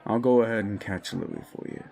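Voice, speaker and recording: A man playing a gruff, sardonic, unimpressed bar boss in an audio drama. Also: lowish voice, steady tone, brisk, darkish tone, some chatter behind. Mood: resigned. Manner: dry, unimpressed. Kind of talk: scripted audio drama dialogue.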